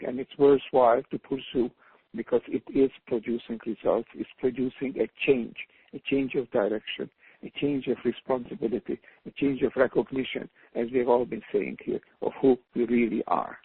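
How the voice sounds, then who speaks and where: A man's voice: medium pitch, fairly even intonation, normal speed. An older man from Hungary, speaking English with a Hungarian accent, talks earnestly on a panel as an author calling in by phone, often repeating words as he searches for them. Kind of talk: reflective panel talk by phone. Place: calling in from home abroad. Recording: husky tinny phone line.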